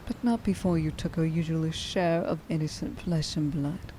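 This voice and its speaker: A woman playing a stern, vengeful werewolf huntress in an ASMR roleplay, speaking to the listener with deliberate contempt and menace, often slipping into a breathy whisper. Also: low-pitched, steady tone, dark tone, a little hiss or hum, natural voice, rough recording. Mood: bitter grief. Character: stern, vengeful female werewolf huntress, grieving a lost friend.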